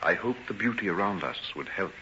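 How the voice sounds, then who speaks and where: A dull old radio recording carries a guilt-ridden gentleman doctor. The voice is low and very forceful, swinging widely in pitch. The phrasing is formal, earnest and theatrical. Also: animated, a little fast, slight background noise, full character voice. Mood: tender, sorrowful. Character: tormented, guilt-ridden gentleman doctor, tender and self-sacrificing. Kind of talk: old-time radio drama acting.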